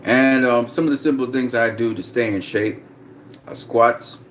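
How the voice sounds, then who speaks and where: A man from Trinidad chatting casually on a livestream, reading out viewer comments in a relaxed way. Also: mid-range voice, moderate pace, slight background noise. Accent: slight American-sounding Trinidadian. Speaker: vlogger.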